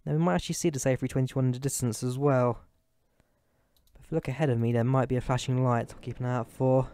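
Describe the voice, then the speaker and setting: A man's voice: mid-range voice, a little mellow, no echo. A young man from the UK, chatting casually and rambling to viewers while he streams a flight simulator. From United Kingdom. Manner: rambling, chatty, thinking aloud while fiddling with settings. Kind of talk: casual live-stream chat.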